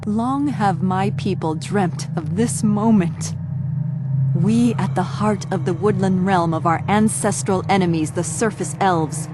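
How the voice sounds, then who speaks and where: A woman voicing a proud, vengeful dark elf princess in a fantasy game cutscene, haughty, formal and commanding. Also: medium pitch, a little slow, very noisy, close to an everyday voice. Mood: triumphant, defiant. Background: loud music.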